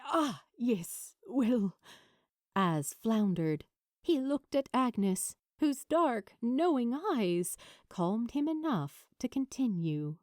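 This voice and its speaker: A woman reading fiction as an audiobook narrator, in an expressive storytelling style. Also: on the high side, slow pace, no room sound, clean studio sound.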